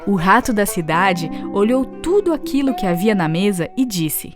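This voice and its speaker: A woman's voice: medium pitch, lively intonation, moderate pace. A woman with a Brazilian accent narrating a scripted children's story. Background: music.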